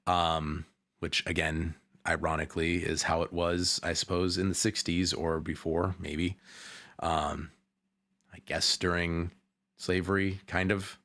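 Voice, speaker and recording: Deep-voiced man rambling through casual commentary. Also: little pitch movement, a bit bright, no echo. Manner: rambling, halting, repeats himself. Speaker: streamer reacting to a video.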